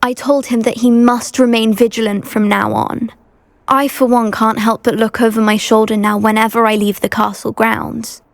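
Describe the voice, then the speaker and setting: Anxious british teen witch girl for a game, polite n earnest, bit high, creaky edge, dry close sound. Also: little pitch movement, normal speed, dry recording. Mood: grateful, uneasy. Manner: earnest, well-spoken. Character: anxious, polite teenage schoolgirl witch devoted to her uncle. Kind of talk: video game dialogue acting.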